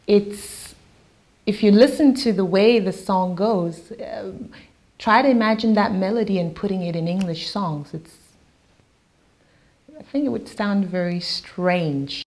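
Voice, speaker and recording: A woman whose first language is Yoruba, a singer-songwriter talking thoughtfully in an interview, pausing with fillers and restarts. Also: mid-range voice, darkish tone. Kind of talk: interview talk.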